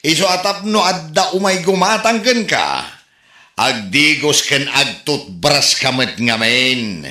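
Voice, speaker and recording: A man's voice: high-pitched, sharp tone, heavily acted. A man playing an embarrassed, scolding village husband in a comedy drama, grumbling as he talks. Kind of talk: Ilocano comedy drama acting. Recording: echoey room with music behind.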